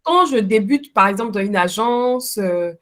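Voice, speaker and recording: A woman's voice: medium pitch, expressive, normal speed, a bit bright. A woman interviewing a guest in a casual, friendly way, thinking aloud with many fillers.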